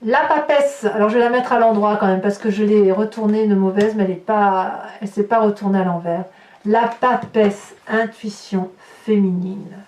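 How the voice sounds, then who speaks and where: A woman's voice: mid-range voice, fairly even intonation, moderate pace, darkish tone. A woman improvising a tarot reading for her viewers, breaking into exclamatory, astonished asides as she comments on the cards. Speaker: tarot reader and channel host. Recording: roomy echo, distant mic, background noise.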